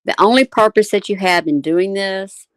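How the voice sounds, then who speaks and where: A woman's voice: medium pitch, moderate pace, no echo. A woman delivering a firm reproach in a stern, critical manner.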